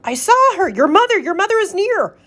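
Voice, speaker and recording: A fussy pet fish from a children's storybook, acted out by a woman. The voice is high and very animated, climbing as it frets. It scolds in an emphatic, insistent way, full of worry. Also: brisk, heavily acted. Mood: urgent, worried. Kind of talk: children's storybook read-aloud.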